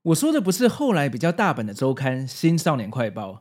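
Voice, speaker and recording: A man's voice: on the high side, very animated, a little fast, a little mellow. A man in his forties with a Taiwanese Mandarin accent narrates a scripted video essay with casual asides, in an explanatory, nostalgic way. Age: 40s. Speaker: host.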